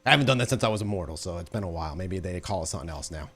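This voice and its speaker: A man's voice: low voice, lively intonation, rapid-fire, a bit bright, a little hiss or hum, pitched below the performer's own voice. A man playing a cheeky, crude vampire errand-runner in a tabletop game, rambling in a lazy, flippant way. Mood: crude, musing.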